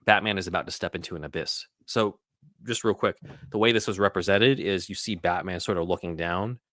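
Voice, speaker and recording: Low voiced guy breaking down a film, casual podcast host, halting, very dry sound. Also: a bit bright, no echo. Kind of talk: casual scene-by-scene film commentary.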